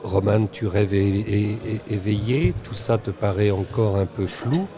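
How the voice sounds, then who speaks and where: A man hosting a roundtable podcast, speaking haltingly with pauses mid-sentence. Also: fairly low pitch. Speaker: podcast host. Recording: busy crowd chatter behind. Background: crowd chatter.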